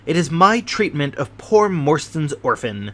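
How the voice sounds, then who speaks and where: A greedy old army major on his deathbed, confessing in a slow, heavy way, voiced by a man reading a story. The voice sits slightly high for a man. It sounds like a storybook reading. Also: big pitch swings, pushed and bright, full character voice. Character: dying greedy old male army major, guilt-ridden.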